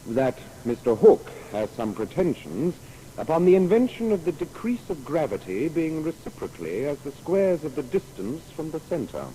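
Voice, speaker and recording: An earnest gentleman of science from centuries past, courteous and formal in his archaic phrasing. The voice is mellow and very expressive, in a radio drama with slight noise. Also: fairly high pitch, moderate pace, poor audio. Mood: grave, tactful. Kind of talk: period radio drama acting.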